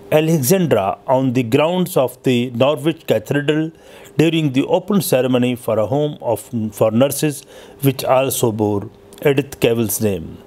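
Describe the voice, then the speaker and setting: A man reading a scripted history narration as host, in a halting, stumbling way. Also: medium pitch, a little mellow.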